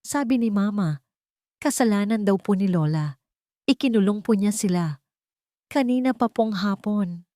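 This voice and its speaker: A woman voicing a scared, tearful little girl who speaks in halting, broken words in a story. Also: medium pitch, expressive, dark tone, dry recording, natural voice.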